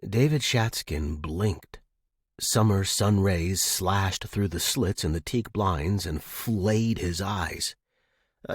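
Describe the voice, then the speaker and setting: A man presenting and narrating as host of a fiction podcast, reading in an expressive storytelling style. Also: fairly low pitch, breathy edge, no room sound, clean studio sound.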